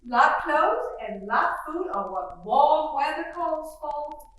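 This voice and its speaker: A woman's voice: sits high, expressive, slow pace, a bit bright, exaggerated voice. An actress playing a fussy, middle-aged faded belle mother, who chatters on in a gushing, rambling way. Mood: fluttery. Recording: echoey roomy sound from far off.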